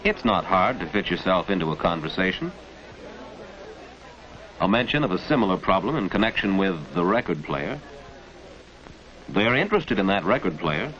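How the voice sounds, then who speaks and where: A male actor as the knowing, approving narrator of a 1940s educational film, deliberate and instructive, with an American accent. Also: low-pitched, animated, fairly bright tone. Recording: dull lo-fi 1940s film soundtrack.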